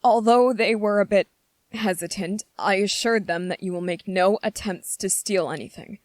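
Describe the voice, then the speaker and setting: A woman voicing a gentle, anxious young prince in a fantasy ASMR roleplay, speaking politely and formally with hesitant, halting phrasing. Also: mid-range voice, no echo, natural voice. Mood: apologetic, reassuring. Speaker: voice actor in audio roleplay. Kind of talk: ASMR character roleplay, scripted fantasy monologue.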